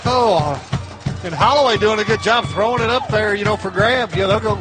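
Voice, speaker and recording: A man with a slightly high voice works as a football color commentator. He talks loosely and conversationally, with halting, unscripted phrasing. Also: over music, poor audio. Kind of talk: unscripted sports analysis.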